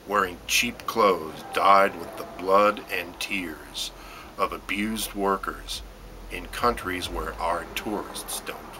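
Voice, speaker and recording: A man reading his own poetry as dramatic spoken word, in deliberate short phrases with pauses and emphatic stresses. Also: low-pitched, pushed and bright, slight background noise, low-quality recording. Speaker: poet reading own work.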